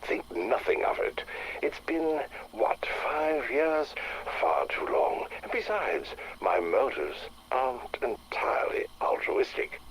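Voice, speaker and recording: Urbane, expressive upper-class English gentleman. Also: mid-range voice, a little hiss or hum, poor audio. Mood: genial, self-satisfied. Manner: measured, pompous, precise. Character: urbane, fussy upper-class British gentleman explorer host. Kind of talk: period audio drama acting.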